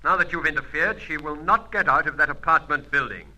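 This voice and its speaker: A sharp, menacing rival spy. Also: male voice, fairly high pitch, fairly quick, muffled recording. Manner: coolly threatening, clipped. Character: smooth menacing rival agent, cool and taunting. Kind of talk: old-fashioned radio spy serial acting.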